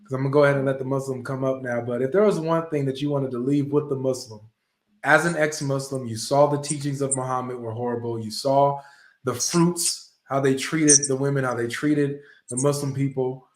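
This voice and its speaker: A man's voice: medium pitch, fairly even intonation, a little fast. A man hosting an interview, asking guiding questions in an affirming, brotherly way. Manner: affirming, brotherly, frequent short agreements. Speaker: host. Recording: echoey room sound.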